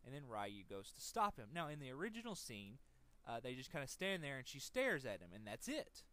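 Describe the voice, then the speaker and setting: A man giving casual, unscripted video game commentary, speaking haltingly, hedging and repeating words as he thinks. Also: mid-range voice, wildly swooping pitch, pushed and bright. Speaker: let's play commentator.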